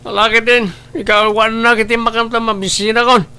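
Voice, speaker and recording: Man playing a sharp, high-voiced angry husband. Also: little pitch movement, a little fast, clear, resonant tone, exaggerated voice. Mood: angry, scornful. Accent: Ilocano. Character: hot-tempered gambling husband, quarrelsome and scornful. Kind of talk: Ilocano radio-style family drama acting.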